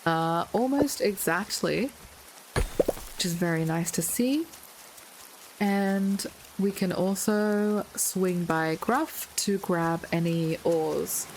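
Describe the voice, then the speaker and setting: A woman with a slightly hoarse voice, chatting casually through her gameplay with light puns and frequent ums. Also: lowish voice, takes it slowly, background noise. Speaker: gaming video creator. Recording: loud game music with rain sounds behind.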